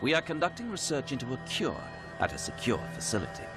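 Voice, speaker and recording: A man's voice: mid-range voice, wildly swooping pitch, quick pace. An actor playing a calculating, ominously polite male scientist in a game cutscene, speaking formally and theatrically. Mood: calculating, courteous. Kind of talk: scripted game cutscene dialogue. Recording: music behind the voice.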